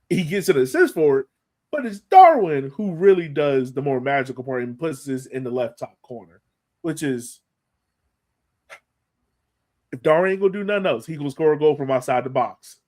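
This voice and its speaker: A man's voice: on the high side, darkish tone. An American man co-hosting a sports podcast, analyzing a match in a colloquial, emphatic way and repeating points for stress. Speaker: podcast co-host.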